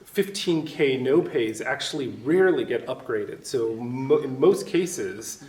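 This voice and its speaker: A man's voice: medium pitch, lively intonation, measured pace. A man in the audience asking a panel a precise question without a microphone. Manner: loud, friendly, precise. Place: studio room with an audience. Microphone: no mic, picked up from the room. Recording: roomy audience voice from far off.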